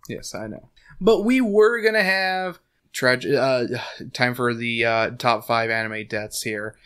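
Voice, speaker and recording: A slightly hoarse man hosting a casual book podcast, rambling with lots of fillers and laughing often, recorded on a desk mic at home. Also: mid-range voice, takes it slowly, fairly bright tone. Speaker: podcast host. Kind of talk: casual chat and book recap. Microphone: desk condenser mic.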